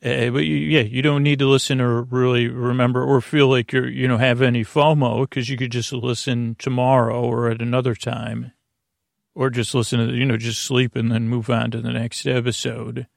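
Creaky-voiced man rambling gently at bedtime. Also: mid-range voice, flat delivery, no room sound, studio quality. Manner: meandering, halting with many trailing-off repeats; gentle, reassuring. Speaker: sleep podcast host. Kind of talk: rambling, whimsical bedtime monologue.

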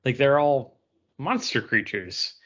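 A man's voice: medium pitch, big pitch swings, slow pace, a bit bright. A man co-hosting a podcast, talking in a casual, conversational way. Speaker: comics podcast co-host. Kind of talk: casual discussion. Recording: dull lo-fi audio.

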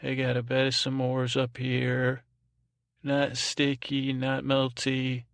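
A man hosting a sleep podcast with a meandering, halting bedtime ramble in a lulling, soothing tone, often repeating himself and trailing off. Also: medium pitch, completely flat delivery, no room sound, studio quality. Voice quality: creaky, gravelly. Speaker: podcast host. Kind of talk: rambling improvised bedtime monologue. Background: music.

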